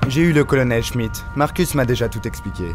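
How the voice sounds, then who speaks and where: A man's voice: medium pitch, animated, fairly quick, lightly acted. An actor playing a cryptic, erudite male recluse in an audio drama, theatrical and condescending, over prominent music. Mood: guarded. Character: cryptic, erudite male deep-sea recluse, scornful of surface dwellers. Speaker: reclusive expert character. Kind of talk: French audio fiction acting.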